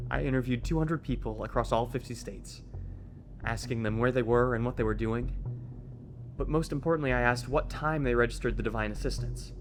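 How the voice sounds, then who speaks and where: A man's voice: mid-range voice, a little hiss or hum. A young actor playing an eager college senior who explains his research to his professor. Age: young adult. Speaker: college student. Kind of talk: film dialogue.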